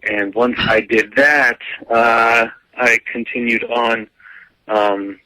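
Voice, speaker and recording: Easygoing young man, bright voice. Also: medium pitch, fairly even intonation, slow, no room sound, poor audio. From United States (grew up). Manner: easygoing, lots of "um" and "like" fillers. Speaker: guest. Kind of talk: casual interview answers.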